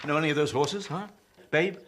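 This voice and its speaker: An actor playing an elderly comedian, anxious and grieving at his friend's deathbed, halting and pleading in a naturalistic drama. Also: fairly high pitch, a bit bright, slight background noise, close to an everyday voice. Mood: anxious, coaxing. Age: older. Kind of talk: radio-play style naturalistic drama.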